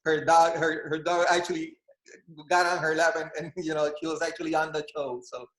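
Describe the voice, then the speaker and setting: A man hosting a podcast chat, talking in a halting way with many restarts and friendly laughs. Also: a little high, little pitch movement, fairly bright tone, roomy sound, poor audio. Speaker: podcast host. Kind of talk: casual conversation.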